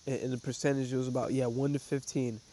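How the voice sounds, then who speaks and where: A man's voice: medium pitch, flat delivery, fast talker, dark tone, slight background noise, poor audio. Stuffy-voiced man rambling about markets. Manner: rambling with many fillers and restarts. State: stuffy, congested. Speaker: podcast host. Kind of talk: casual trading commentary.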